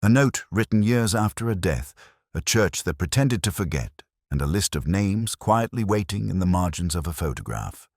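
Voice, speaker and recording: A man narrating a scripted first-person detective story in a measured, reflective, literary manner. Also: low-pitched, big pitch swings, darkish tone, no echo, studio quality.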